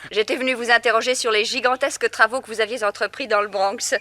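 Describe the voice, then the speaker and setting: A woman's voice: fairly high pitch, steady tone, fast talker, pushed and bright. An actress playing a chatty young woman journalist, cheerful, affectionate and teasing, in a vintage radio drama. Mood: cheerful, sociable. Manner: vivacious, teasing.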